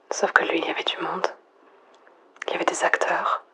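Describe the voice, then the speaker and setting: A woman playing a lonely, frightened last survivor, murmuring a halting, introspective audio log in a breathy, husky near-whisper. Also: on the low side, bright, forceful tone. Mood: wistful, resigned. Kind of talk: hushed monologue, analog horror audio log.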